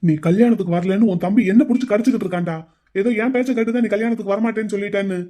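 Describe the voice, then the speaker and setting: A man's voice: high-pitched, fairly even intonation, rapid-fire, mellow. A man voicing a brother-in-law caught in a family quarrel, who talks in a pleading, defensive way.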